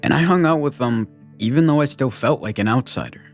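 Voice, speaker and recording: A man's voice: medium pitch, animated, a little fast, soft background music. A young American man talking candidly and informally about himself in a reflective personal conversation. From United States, born in Guatemala. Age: young adult. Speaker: podcast co-host telling his own life story.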